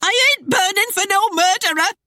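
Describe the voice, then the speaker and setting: A woman's voice: very high voice, very slow, bright, forceful tone, no echo, studio quality, pitched above the performer's own voice, exaggerated voice. A woman voicing a hysterical, terrified village wife, blubbering and shrieking in rustic dialect. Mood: panicked.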